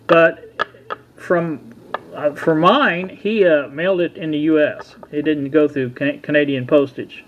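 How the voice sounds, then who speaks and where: A middle-aged or older man casually talking through a hands-on repair at a workbench, in a halting, folksy, unhurried way. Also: a little high. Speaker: hobbyist radio repair vlogger. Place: indoor workbench. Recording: dull lo-fi sound with background noise.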